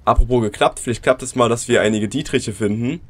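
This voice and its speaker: A man's voice: mid-range voice, quick pace. A man with a cold and a sore throat, casually commenting on his gameplay and talking straight to his viewers from his computer. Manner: chatty, addresses viewers directly. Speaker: let's play commentator.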